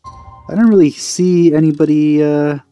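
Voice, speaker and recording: A man gives casual commentary while playing a video game. His voice is dark and relaxed, with offhand, muttered asides. Game music sits faintly behind him. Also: fairly high pitch, measured pace, dry recording.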